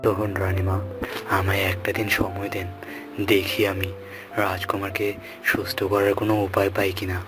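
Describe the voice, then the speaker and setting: Male actor as royal healer, grave, deliberate, respectful, worried, cartoon drama, music behind. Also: low voice, monotone, close to an everyday voice. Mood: grave alarm. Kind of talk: dramatic cartoon story acting.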